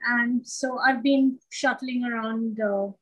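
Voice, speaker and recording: A woman's voice: on the high side, fairly even intonation, takes it slowly, rough recording. A middle-aged woman, from Sri Lanka and India, answering questions conversationally as an interview guest.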